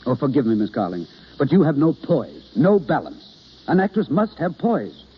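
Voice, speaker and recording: An actor playing an understudy turned acting coach, polite but blunt, in old radio drama style. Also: male voice, a little high, sing-song, normal speed, husky, full character voice. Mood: critical. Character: poor, capable understudy turned stern acting coach, man. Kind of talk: 1930s American radio acting. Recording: muffled, hissy old radio broadcast.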